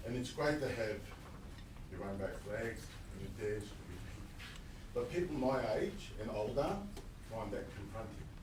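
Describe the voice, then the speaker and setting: An older man with a lowish, big voice asks a direct question from the audience. He sounds far from the mic in an echoey room. Background noise runs behind him. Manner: loud, direct. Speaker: audience member asking a question.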